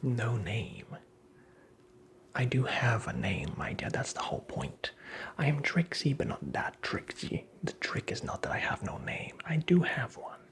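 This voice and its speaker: A performer voicing a creepy, taunting fairy-tale imp in a whispered ASMR roleplay, with breathy, gravelly, theatrical, mockingly courteous delivery. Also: mid-range voice, big pitch swings, unhurried. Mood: mocking, indignant.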